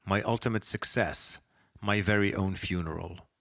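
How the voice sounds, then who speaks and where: A man from Lebanon reading his own short story as a first-person narrator, with expressive, dramatic delivery. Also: low voice, normal speed, no echo.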